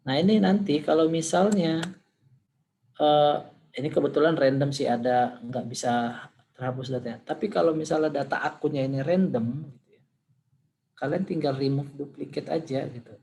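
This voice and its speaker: A man teaching a class, hoarse today, talking informally and thinking aloud as he demonstrates on screen. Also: fairly high pitch, normal speed, pauses often, warm tone, slight background noise. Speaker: lecturer.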